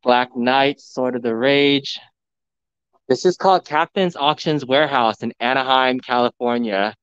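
A man livestreaming a walkthrough of a pinball showroom, excitedly talking to his viewers, recorded on a phone indoors. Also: medium pitch, measured pace, smooth, no echo, lo-fi sound. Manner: excited, enthusiastic, addressing viewers. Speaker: vlogger, live streamer. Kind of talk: live walkthrough commentary. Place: large indoor arcade showroom. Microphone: phone camera.

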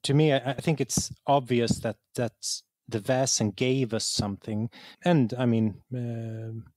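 A man's voice: medium pitch, fairly even intonation, unhurried, darkish tone, no room sound. A man from Sweden with a Swedish accent and Swedish as his first language, reflecting in an interview as a game designer, often repeating words as he searches for the right one.

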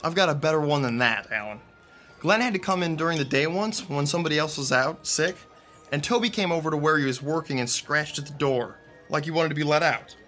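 A man's voice: fairly high pitch, brisk, bright, forceful tone, soft background music. A man acting a friendly, chatty theatre techie telling anecdotes in an audio drama. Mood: amused storytelling. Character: Rick, chatty friendly young male theatre techie storyteller.

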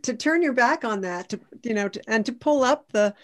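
Emphatic woman with a highish voice. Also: lively intonation, moderate pace. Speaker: scholar panelist. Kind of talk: unscripted panel discussion. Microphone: video call.

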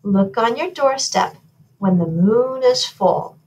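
Woman putting on an airy, high-pitched fairy godmother. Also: expressive, takes it slowly, a little mellow, rough recording. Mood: gracious. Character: bright, gracious female fairy godmother, easily flustered. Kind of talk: storybook read-aloud.

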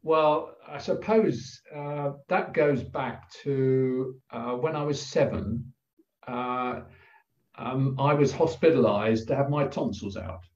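A man's voice: mid-range voice, a little mellow. Older English man, roomy distant call. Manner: halting and reflective, many pauses and fillers. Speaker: guest. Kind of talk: telling a personal story in conversation. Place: plain room indoors. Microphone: video call. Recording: roomy, distant, dull video call audio.

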